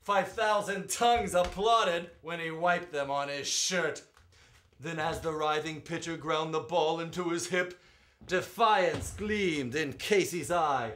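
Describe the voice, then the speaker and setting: A man performing as a poetry reader, with a theatrical, emphatic storytelling delivery and drawn-out words. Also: on the high side, a bit bright. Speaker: performer reading poetry aloud. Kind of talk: dramatic poetry recitation with spoken introduction.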